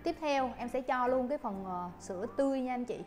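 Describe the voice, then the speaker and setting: A young woman, a barista instructor, guiding viewers through a coffee recipe politely with soft fillers, recorded on a lapel mic at a shop counter. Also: high voice, lively intonation, brisk, soft background music. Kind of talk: coffee-making tutorial.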